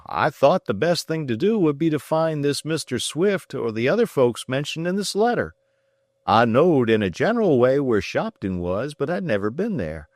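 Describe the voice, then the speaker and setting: A man voicing a plainspoken middle-aged country farmer with a slow folksy drawl. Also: fairly high pitch, dry recording, clean studio sound, pitched above the performer's own voice.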